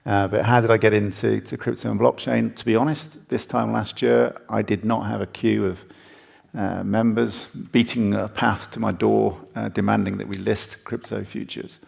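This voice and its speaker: A man's voice: fairly low pitch, level tone, normal speed, creaky, darkish tone, husky. A man speaking as a chief executive introducing himself on a conference panel.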